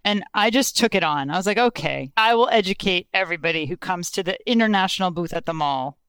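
Lively, laughing woman author telling stories. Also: lowish voice, fast, smooth, no echo. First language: Lithuanian. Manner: animated storyteller, laughs often, acts out quotes. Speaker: guest author. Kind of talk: casual interview conversation.

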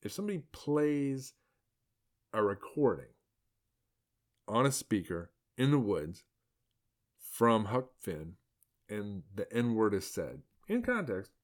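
A man's voice: lowish voice, sing-song, slow, long pauses. A man hosting a podcast, talking through his views in a rambling, sarcastic way with many fillers and restarts. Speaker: podcast host. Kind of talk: opinion podcast commentary.

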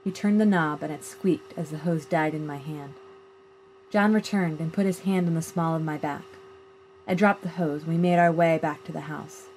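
A woman reading a first-person literary short story aloud in a measured, plain storytelling manner. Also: low voice, a little mellow, low-quality recording. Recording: clear background noise.

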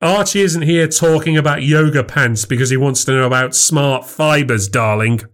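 A man's voice: mid-range voice, moderate pace, voice pushed up, natural voice. A man voicing a jealous, sarcastic husband in audiobook dialogue, goading and sneering. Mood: bitter, scornful.

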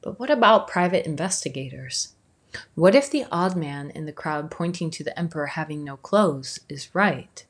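Thoughtful woman, low-toned reflective narration. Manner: measured, thoughtful, some words drawn out slowly. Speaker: video essayist.

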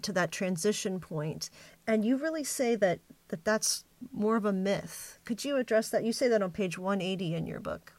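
A woman hosting an interview, asking questions in a measured, thoughtful and attentive way. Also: mid-range voice, dry recording. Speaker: interview host.

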